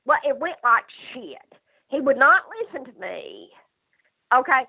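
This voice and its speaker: A woman's voice: sits high, very animated, unhurried, creaky, breathy edge, dry recording. A woman talking unscripted on the phone, hesitant and often repeating herself. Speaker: prank call recipient. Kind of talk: unscripted phone conversation and voicemail. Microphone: telephone. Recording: tinny, narrow phone line.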